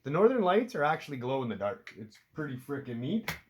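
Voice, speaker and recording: A man's voice: fairly high pitch, big pitch swings, a little fast, a little mellow, a little hiss or hum. Stuffy-nosed Canadian man rambling casually. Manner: chatty, self-deprecating, swears casually. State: stuffed up from a cold. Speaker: outdoor hobby vlogger. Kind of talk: rambling casual talk to camera. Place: indoors at home by a window.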